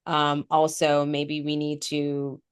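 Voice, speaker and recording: Low-voiced congested woman podcast host. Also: monotone, takes it slowly, smooth. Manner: talkative, explains at length with ums. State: congested with a cold, sore throat. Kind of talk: casual spiritual podcast chat.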